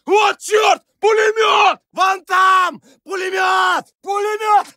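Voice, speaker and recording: An actor playing an alarmed Russian soldier of the First World War in a video game, shouting terse, urgent warnings to his comrades. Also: extremely high pitch, level tone, takes it slowly, no room sound, studio quality, a faint crowd, full character voice. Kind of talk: shouted battlefield alert barks.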